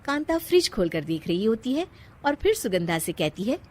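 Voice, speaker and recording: A woman's voice: medium pitch, very animated, normal speed, a little mellow, no room sound. A woman narrating a scripted story, playfully addressing her listeners.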